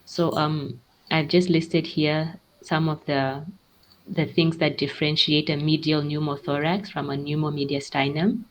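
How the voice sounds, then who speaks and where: A woman's voice: low voice, level tone, measured pace, low-quality recording. A woman giving a medical teaching presentation, explaining in a careful and somewhat hesitant way.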